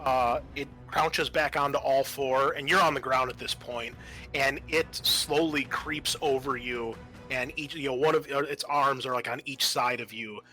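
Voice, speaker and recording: Guy gm-ing a horror tabletop game, sharp bright voice, dramatic but halting, some music in the back. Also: mid-range voice, fairly even intonation, poor audio. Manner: halting delivery with vivid, dramatic descriptions. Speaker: game master. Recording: music playing behind.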